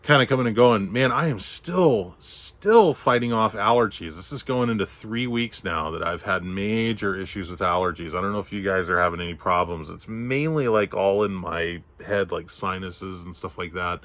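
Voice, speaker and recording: A low-pitched man from Texas talks through his comic pickups in an easy, rambling way. His throat is scratchy from allergies, and he stops and restarts often as he goes. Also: moderate pace, hardly any pauses, a bit bright. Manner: rambling, many fillers and restarts. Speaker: comic haul vlogger. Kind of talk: casual show-and-tell chat.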